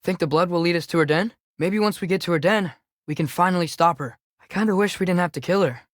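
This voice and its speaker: A man's voice: high-pitched, quick pace, no echo, studio quality, close to an everyday voice. A young man voicing an earnest, curious teenage boy adventurer in a video game, with natural, inquisitive phrasing. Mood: earnest, concerned. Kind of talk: video game voice acting, companion dialogue.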